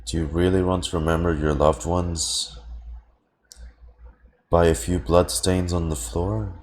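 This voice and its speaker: A man's voice: low-pitched, little pitch movement, a little slow, darkish tone, a little hiss or hum. A man streaming a game and reacting to it in casual, slangy, informal chat.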